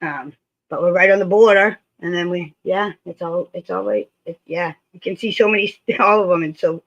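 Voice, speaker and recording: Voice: medium pitch, steady tone, moderate pace, gravelly, a bit bright. A co-host from Massachusetts chatting eagerly in a casual livestream. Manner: chatty, enthusiastic about the region. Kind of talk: casual chat. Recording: dull lo-fi sound.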